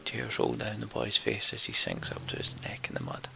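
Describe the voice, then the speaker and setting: A man's voice: fairly low pitch, huge pitch swings, normal speed, creaky, bright, forceful tone, whispered. A man narrating a fantasy game's story text aloud as a let's play host.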